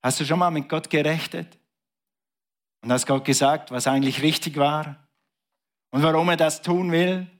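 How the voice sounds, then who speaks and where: A man preaching from the pulpit, his voice sitting a little high for a man. He swings from raised, excited bursts down to quiet, hushed asides, in the heightened style of a sermon. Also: normal speed, pauses often. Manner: preaching with big swings in pitch and volume, dropping to a hush. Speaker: pastor.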